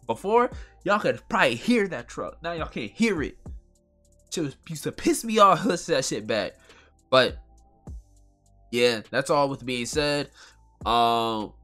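A man's voice: mid-range voice, animated, measured pace, long pauses, fairly bright tone, faint music behind. A man hosting his own podcast with a nasal voice, chatting casually in a loose, rambling, slangy way at a home mic. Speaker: podcast host. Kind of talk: casual solo podcast talk.

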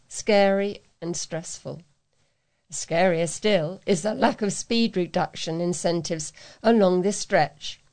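A woman reads local news items aloud as a volunteer. Her voice sits at a middling pitch, and her delivery is plain and scripted, with an occasional small stumble. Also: darkish tone. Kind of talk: read-aloud local newspaper report.

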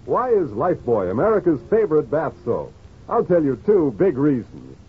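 A man with a raspy voice reading a scripted 1940s radio advertisement as the announcer. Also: on the high side, wildly swooping pitch, moderate pace. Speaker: commercial announcer. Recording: tinny old radio broadcast recording.

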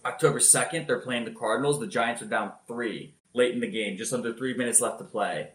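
Energetic man recapping football, roomy sound. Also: mid-range voice, a little fast. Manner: energetic storytelling, loud voice. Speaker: podcast co-host. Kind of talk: casual sports recap.

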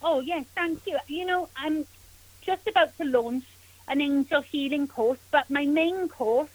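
A woman with a Welsh accent, a spiritual healer and author, answering radio interview questions in an earnest, gentle, effusive way. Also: sits high, unhurried, low-quality recording. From Wales.

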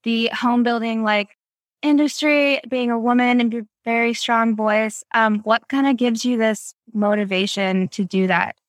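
A woman's voice: fairly high pitch, steady tone, a little slow, no echo. A woman hosting a podcast interview, reacting in a gushing, effusive way. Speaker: podcast host.

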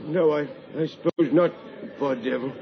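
An actor playing an anxious, fussy but obliging hotel manager in an old radio drama. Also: male voice, on the high side, normal speed, close to an everyday voice. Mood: distressed. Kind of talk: vintage radio drama acting. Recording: muffled, noisy old radio recording.

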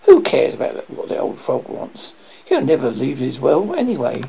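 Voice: medium pitch, wildly swooping pitch, normal speed, gravelly, dull audio, a little hiss or hum. A storyteller voicing a spoiled, impatient young princess who wails and snaps. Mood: dismissive. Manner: wailing, snappish. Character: spoiled, impatient, wailing little princess girl.

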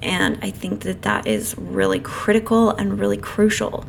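A woman with a slightly hoarse voice that is starting to go, talking casually and chattily to listeners as a solo podcast host. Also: very animated, moderate pace, faint music behind. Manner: chatty, informal, apologetic asides to listeners. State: losing her voice. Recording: clear background noise, passing truck rumble. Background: noisy truck outside.

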